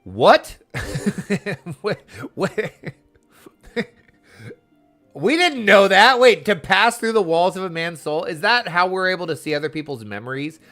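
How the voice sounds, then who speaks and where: A man's voice: sits high, lively intonation, a little slow, fairly bright tone, faint music behind. Chatty man livestreaming a game. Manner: chatty, reacts aloud to the game and viewers. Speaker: livestreamer playing an online game. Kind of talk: casual livestream chat and commentary.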